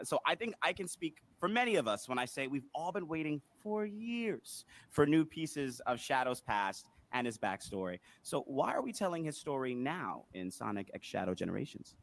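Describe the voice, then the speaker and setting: An enthusiastic man hosting a panel interview. His pitch moves around a lot as he presents and puts questions to guests, sitting in a middle range overall. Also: very animated, moderate pace, fairly bright tone.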